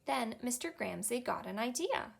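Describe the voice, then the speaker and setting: Lively Canadian woman reading a children's book. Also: fairly high pitch, normal speed, gravelly, breathy edge. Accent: Canadian English. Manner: expressive storytime reading, bright and cheery. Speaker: children's librarian. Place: small indoor library room.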